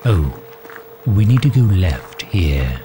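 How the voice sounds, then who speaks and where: A man playing a courtly, overprotective villain who fusses gently and formally in an ASMR roleplay drama. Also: deep voice, big pitch swings, slow pace, noisy background, exaggerated voice. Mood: tender, fussing. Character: doting, overprotective male villain caring for injured hero.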